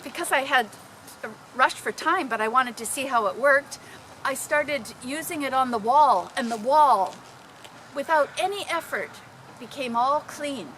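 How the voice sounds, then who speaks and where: A woman telling personal stories informally to a group, in an animated, dramatic manner. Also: high-pitched, measured pace, slight background noise, poor audio. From Canada. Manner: animated, dramatic, acting out exclamations. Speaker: storyteller.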